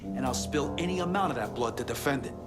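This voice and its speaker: An actor playing a cocky, fiercely loyal gangster in a game cutscene, boastful and sweary. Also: male voice, mid-range voice, lively intonation, quick pace, over music, natural voice. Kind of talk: video game cutscene acting.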